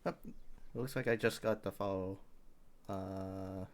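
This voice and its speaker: Deep-voiced man chatting on stream. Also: fairly even intonation, slow. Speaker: livestreamer. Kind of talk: casual livestream chat. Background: game music.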